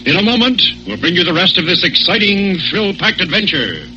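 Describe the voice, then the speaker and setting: On a muffled old radio recording with music, an American man announces in 1940s sponsor style. His emphatic, folksy delivery swings dramatically in pitch to drive each phrase home. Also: sing-song, brisk, pushed and bright. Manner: emphatic, folksy persuasion with repetition.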